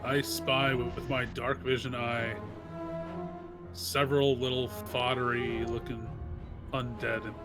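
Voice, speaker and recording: A man with a medium-pitched voice chats casually as a player in a tabletop game. There is loud music behind him. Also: little pitch movement, slow. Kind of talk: casual group game chat.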